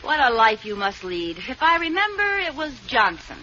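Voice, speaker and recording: An actress playing a spirited young housewife in a 1940s radio comedy, bubbly and coaxing, quick with a comeback. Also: female voice, sits high, big pitch swings, pushed and bright, a faint crowd, full character voice. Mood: chatty. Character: scheming, spirited young housewife set on acting. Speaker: lead in a radio sitcom. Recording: dull, hissy old radio transcription.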